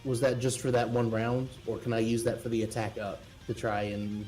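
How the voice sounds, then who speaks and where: A man's voice: mid-range voice, flat delivery, normal speed, darkish tone. A man playing a tabletop role-playing game, talking informally and thinking aloud as he describes his moves. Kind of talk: casual game-table talk. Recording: faint music behind the voice.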